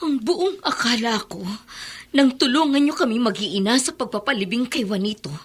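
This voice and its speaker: A woman's voice: a little high, normal speed, husky. A woman playing a middle-aged widowed mother in a radio drama, burdened, plaintive and emotional. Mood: hurt, protesting.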